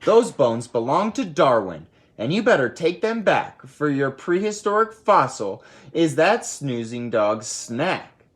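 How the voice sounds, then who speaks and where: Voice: mid-range voice, sing-song, measured pace, few pauses, voice pushed up. A storybook reader voicing a bossy, scolding mother cat. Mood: scolding, commanding. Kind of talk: storybook read-aloud character voice.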